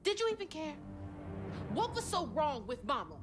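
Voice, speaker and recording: High, lively young Southern heroine. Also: female voice, moderate pace, over music. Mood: angry, hurt. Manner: blunt, emotive. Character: headstrong, outspoken young Southern woman, game heroine. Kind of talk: naturalistic video game acting.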